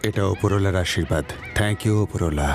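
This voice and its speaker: An actor voicing a greedy gangster boss in a cartoon dub, talking in a fast, gloating way. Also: male voice, deep voice, darkish tone, soft background music.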